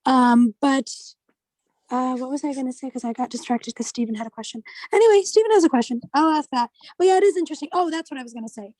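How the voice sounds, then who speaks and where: A woman's voice: high-pitched, fast, darkish tone, dry recording, rough recording. A woman leading a casual group discussion, thinking aloud in a tentative way. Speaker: study group discussion leader.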